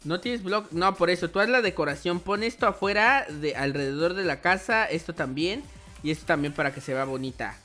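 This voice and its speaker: A young man hosts casual gaming commentary in a bright, lively-edged voice. He is chatty and playful and often addresses his viewers directly. Faint music plays behind him. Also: high voice, brisk. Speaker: host of a gaming channel.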